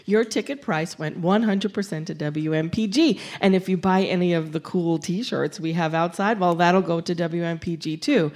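Woman making a live radio fundraising pitch. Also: normal speed, hardly any pauses, darkish tone. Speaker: community radio station representative. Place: live on stage in an auditorium.

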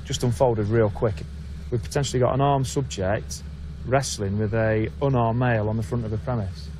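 A man's voice: mid-range voice, steady tone, moderate pace, a little mellow. A man, a police officer recounting an incident in a plain, matter-of-fact procedural way. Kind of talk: on-camera interview recollection. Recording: outdoor street noise.

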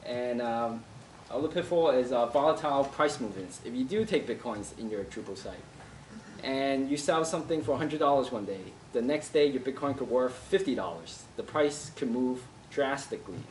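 A young man giving a casual tech talk at a meetup, with many fillers and pauses. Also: medium pitch, a little hiss or hum. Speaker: meetup presenter. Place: office meeting room with audience. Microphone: far camera. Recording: roomy sound from far off.

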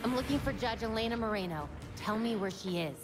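A woman's voice: a little high, animated, a little fast, long reverb tail, lightly acted. An actress playing a serious, guarded young woman vigilante detective in a cinematic video game. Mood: firm, businesslike. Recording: loud game music behind the voice.

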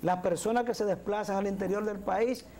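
Dominican guy on a tv panel, high voice with lots of gravel, earnest, keeps repeating himself. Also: fairly even intonation, fairly quick, darkish tone, a little hiss or hum. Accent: Dominican Spanish. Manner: earnest, gestures while speaking, repeats words. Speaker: talk show co-host. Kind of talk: political commentary. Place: TV studio. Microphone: lapel mic.

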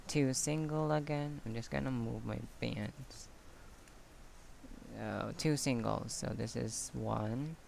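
A man giving a quiet step-by-step craft tutorial, counting stitches as he works off camera. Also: medium pitch, gravelly, a little hiss or hum. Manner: slow, quiet counting while working with hands. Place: indoors at a table. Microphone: camera on hands, speaker off screen.

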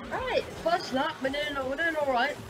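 Woman gaming host, high voice, a bit scratchy, chatty, game music loud underneath. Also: normal speed. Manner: chatty, easily excited, talks while concentrating on racing. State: scratchy voice, sore throat. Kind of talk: casual gameplay commentary. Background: game music and engine sounds.

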